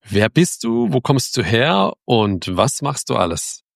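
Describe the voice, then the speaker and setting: A man's voice: mid-range voice, sing-song, a little slow, gravelly, dry recording, studio quality. A man hosting a podcast, opening the episode and interviewing his guest in a casual, informal way. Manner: chatty, informal, with frequent restarts. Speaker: podcast host.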